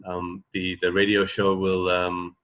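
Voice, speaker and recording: Man, low voice, radio host, casual chat, hesitant rambling delivery, recorded at home. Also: completely flat delivery, measured pace, a bit bright.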